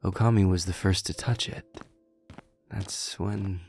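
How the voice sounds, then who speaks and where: Man acting a deep-voiced, earnest exiled king. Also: fairly even intonation, measured pace, vocal fry, darkish tone. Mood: grave. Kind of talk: ASMR audio roleplay acting. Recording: music under the voice.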